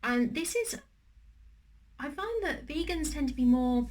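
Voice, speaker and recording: A woman's voice: high voice, lively intonation, a little slow, darkish tone. A young woman with a slight cold, chatting casually in a cooking and eating vlog, rambling with many ums and restarts. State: has a cold, slightly wheezy. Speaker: vlogger.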